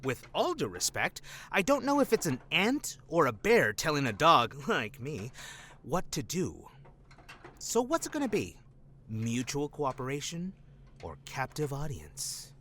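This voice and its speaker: A playful, theatrical male operative for an audio drama. His bright, fairly high voice leaps around in pitch as he teases and jokes with cocky showmanship. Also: wildly swooping pitch, slight background noise, exaggerated voice. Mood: cocky, taunting. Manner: theatrical, joking, drawn-out delivery.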